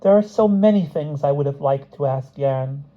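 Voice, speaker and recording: A man's voice: a little high, moderate pace, dull audio, voice pushed up, natural voice. A man voicing an eager, emphatic scholarly woman doctor in an audiobook story. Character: eager, chatty female doctor, indignant scholar.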